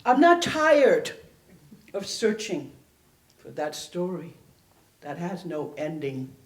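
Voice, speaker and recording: Echoey, slow, dramatic woman poet. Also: fairly low pitch, very animated. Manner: theatrical, deliberate phrasing with long pauses. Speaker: poet performing at a library reading. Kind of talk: dramatic poetry recitation. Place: indoor library event space. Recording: echoey room, public event recording.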